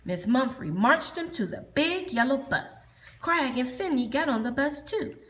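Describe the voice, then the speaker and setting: A woman reading a children's storybook aloud, pausing to ask the listener questions. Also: high voice, animated, a bit bright, slight background noise. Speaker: storybook reader.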